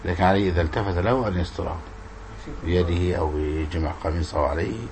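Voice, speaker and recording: A man's voice: sits low, steady tone, quick pace. A man giving an informal religious lesson as an Islamic scholar, explaining and repeating points in a didactic way. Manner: didactic, repeats words and questions. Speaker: Islamic scholar teaching hadith. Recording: clearly noisy room recording.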